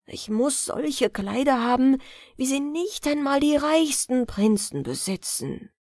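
A woman's voice: sits high, animated, unhurried, a little mellow, dry recording, studio quality, heavily acted. A woman voicing a modest, earnest young gardener's lad in a fairy-tale audiobook, speaking hesitantly. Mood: earnest, pleading.

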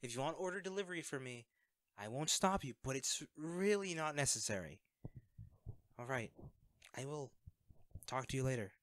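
A man plays a secret superhero in a one-sided audio drama, awkward and self-effacing. His mid-pitched voice stammers and hedges as he covers up for himself with a close friend. The sound is close and very dry. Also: expressive, normal speed, long pauses, fairly bright tone, no echo, close to an everyday voice. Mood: awkward, evasive. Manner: hesitant, stammering, evasive.

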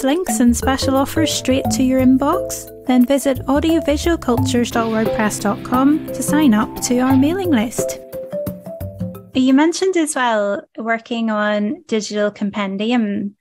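A woman's voice: high-pitched, a little slow, over music. A woman hosting an interview podcast, supportive, often laughing and murmuring agreement as her guest talks. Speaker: podcast host. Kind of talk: conversational interview.

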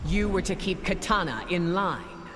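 Game villainess: haughty, possessive empress female actor, animated and imperious, heavy noise behind. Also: lowish voice, moderate pace, close to an everyday voice. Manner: haughty, cold, commanding. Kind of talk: video game villain acting. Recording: very noisy game capture.

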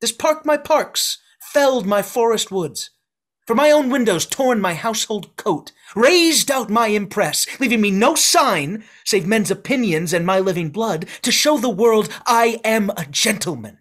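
A man in a video-call Shakespeare reading, playing an exiled duke who forcefully claims his inheritance. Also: high-pitched, animated, a little slow, full character voice. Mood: condemning. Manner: forceful declamation. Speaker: actor. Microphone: video call webcam.

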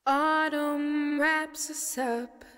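Slow high female singer in long reverb. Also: fairly even intonation, fairly bright tone, soft background music. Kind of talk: slow singing, recorded vocal track. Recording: long reverb on vocal track.

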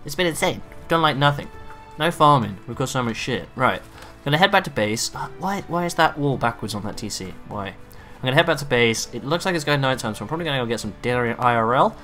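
Bright-voiced raspy gamer man. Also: mid-range voice, fast talker, soft background music. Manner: chatty, excitable, swears casually. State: raspy throat from a cough. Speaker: gaming video creator. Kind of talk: live gameplay commentary.

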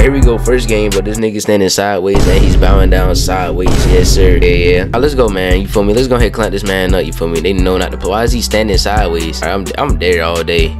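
Deep-voiced teenage gamer, slangy and rambling. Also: male voice. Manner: slangy, rambling, keeps asking "you feel me". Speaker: gaming YouTuber. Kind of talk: casual gameplay commentary.

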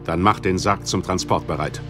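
A man's voice: deep voice, normal speed, music in the background, natural voice. An actor playing a solemn, grieving businessman father in an audio drama, grave and authoritative. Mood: grave, sorrowful. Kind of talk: German audio drama acting.

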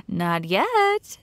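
Playful game shopkeeper woman, highly animated. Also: exaggerated voice. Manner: playful, teasing, expressive. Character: cheerful teasing female shopkeeper, motherly and chatty. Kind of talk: English video game voice acting.

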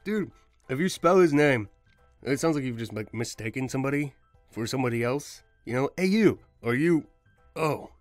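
A man's voice: mid-range voice, expressive, moderate pace, dry recording, soft background music. A young man, an amateur voice actor, joking casually in slangy outtakes.

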